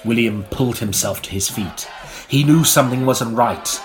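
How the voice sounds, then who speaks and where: A man narrating an audiobook in a theatrical, melodramatic style, with dramatic pauses. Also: medium pitch, soft background music.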